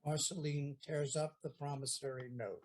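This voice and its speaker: A man narrating the stage directions of an audio play in a plain, even manner. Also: on the high side, moderate pace.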